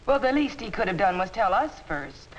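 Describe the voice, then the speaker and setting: An American actress playing a defiant, easily offended office clerk in a 1950s instructional film with a hissy soundtrack. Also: female voice, mid-range voice, very animated, a little fast, muffled recording, natural voice. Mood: indignant, resentful. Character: indignant, defiant female office clerk, quick to take offense. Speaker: actor in workplace instructional film.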